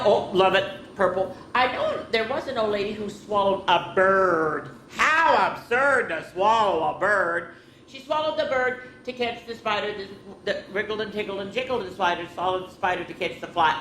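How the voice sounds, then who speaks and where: A woman's voice: mid-range voice, fairly bright tone. A woman hosting a children's story time, chatting to young listeners and reading aloud in an interactive, sing-song way. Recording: roomy echo with background noise.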